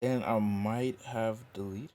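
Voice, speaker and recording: A young man with a deep voice, chatting casually about making his music and saying "bro" a lot. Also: fairly even intonation, slow, dark tone, slight background noise. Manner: loose slangy talk, says "bro" a lot. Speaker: rapper and singer.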